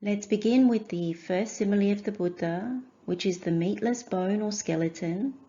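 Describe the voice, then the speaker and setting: A woman teaching a guided meditation in measured, gentle phrases with short pauses. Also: medium pitch, highs cut off.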